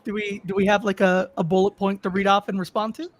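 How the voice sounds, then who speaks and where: A woman's voice: mid-range voice, little pitch movement, quick pace. A woman talking casually as a panelist on a livestream. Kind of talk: casual chat. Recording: faint background noise on livestream audio.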